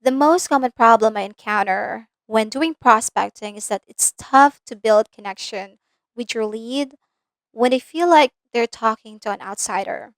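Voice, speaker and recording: A woman with a Filipino accent walks the viewer through a business lesson, speaking directly and pausing to check that they follow. Her voice sits a little high, and the close mic gives a dry sound with no room echo. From Philippines. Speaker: business coach. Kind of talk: scripted instructional video.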